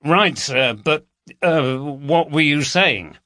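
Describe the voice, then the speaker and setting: A man's voice: a little high, lively intonation, slow pace, sharp tone, no room sound, clean studio sound, pitched above the performer's own voice, exaggerated voice. Man doing a bemused young detective. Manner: hesitant, deferential. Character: polite, bemused young male London detective.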